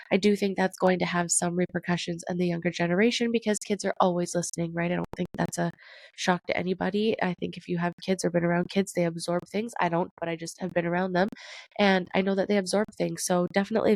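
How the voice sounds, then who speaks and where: A woman's voice: fairly low pitch, dry recording. A young woman with a cold, her voice nasal and congested, hosting a casual news commentary podcast and reading articles aloud with chatty asides. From Canada. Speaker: podcast host.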